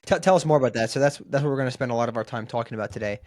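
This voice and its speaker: A man hosting a podcast, reading a brisk, upbeat intro and then chatting with his guest. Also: mid-range voice, dry recording, studio quality. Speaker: podcast host.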